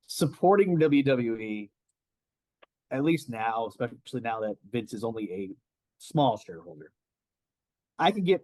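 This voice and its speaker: A man co-hosting a wrestling podcast, making a calm, even-handed argument. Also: mid-range voice, no echo, rough recording. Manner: measured, reasoning, even-handed. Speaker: podcast co-host. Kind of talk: casual wrestling podcast chat.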